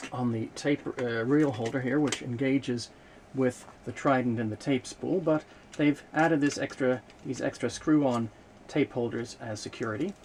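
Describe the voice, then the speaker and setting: A man's voice: mid-range voice, a little mellow. Man explaining hands-on, with noticeable background noise. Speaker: presenter demonstrating vintage equipment. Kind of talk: unscripted hands-on demonstration.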